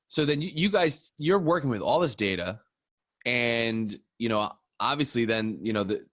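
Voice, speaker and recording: Man, podcast host, low voice, casual and chatty, joking, dry close sound. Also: a bit bright, dry recording. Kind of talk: casual interview chat.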